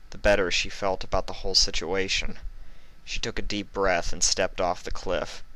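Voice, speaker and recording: A man's voice: low voice, sharp tone, rough recording. A man reading a story aloud as its narrator. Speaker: fan fiction reader and narrator.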